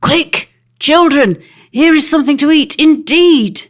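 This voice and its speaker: A woman voicing a wicked, haughty mother otter from a storybook, sharp, emphatic and scornful. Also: high voice, measured pace, pitched above the performer's own voice. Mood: sharp, predatory. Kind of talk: storybook character voice.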